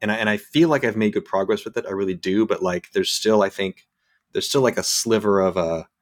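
A man in his forties with a lowish voice talks through a personal worry. He restarts phrases and repeats small words as he feels his way forward. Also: a little fast. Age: 40s. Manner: hesitant, repeats words when searching for thoughts. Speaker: podcast host. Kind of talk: reflective casual conversation.

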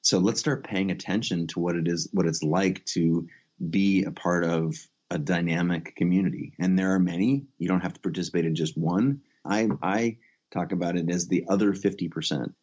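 Male interview guest, low pitch, blunt and emphatic, on dull lo-fi audio. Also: fairly quick, darkish tone, slightly rough. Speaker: interview guest, photographer and author. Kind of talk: conversational interview, explaining.

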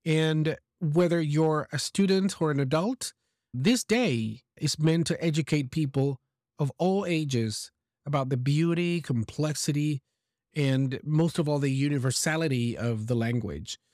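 A man hosting a podcast for English learners, speaking slowly and deliberately. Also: on the high side, a little mellow, no room sound, studio quality. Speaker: podcast host.

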